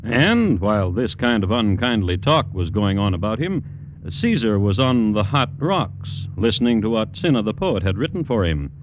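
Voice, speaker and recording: A man playing the wry narrator of a classic radio drama, telling the story in unhurried, literary phrases. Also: lowish voice, sing-song, muffled recording, slight background noise.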